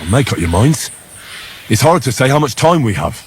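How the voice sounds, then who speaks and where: Game casting: a man as a practical, terse follower, lively intonation, slight background noise. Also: mid-range voice, moderate pace, natural voice. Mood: matter-of-fact. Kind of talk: video game character acting.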